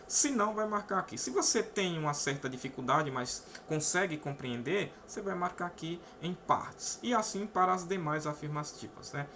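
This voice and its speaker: A man's voice: fairly high pitch, lively intonation, moderate pace, few pauses, pushed and bright, slight background noise. Brazilian man explaining math step by step. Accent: Brazilian Portuguese. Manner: explains step by step, often adds "né?". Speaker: math teacher. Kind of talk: casual online math lesson.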